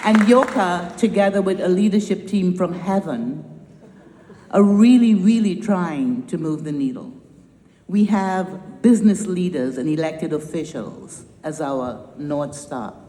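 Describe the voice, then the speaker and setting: A woman's voice: lowish voice, expressive, unhurried, dark tone. Trinidadian woman in an echoey hall. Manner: emphatic, punchy, with wry humor. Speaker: nonprofit founder giving a speech. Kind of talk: rousing public speech. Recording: echoey hall with long reverb.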